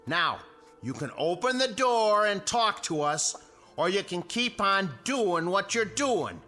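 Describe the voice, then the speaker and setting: Belligerent American mob leader, high tense voice, forceful threats, radio drama, faint music behind. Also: male voice, expressive, slow pace, full character voice. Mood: menacing. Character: angry, belligerent suburban neighbor leading a panicked mob.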